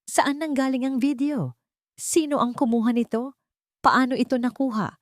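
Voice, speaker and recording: A woman voicing a calm but sharp female lead lawyer in an audio drama, speaking formally and deliberately with English legal terms mixed in. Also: sits high, no room sound, studio quality, voice pushed up, lightly acted. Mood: firm, explaining.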